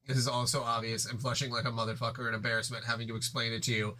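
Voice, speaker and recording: A furious young troll who hurls crude insults, as a man voices him. The voice is forceful and tense. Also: mid-range voice, level tone, rapid-fire, natural voice. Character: angry foul-mouthed young male troll.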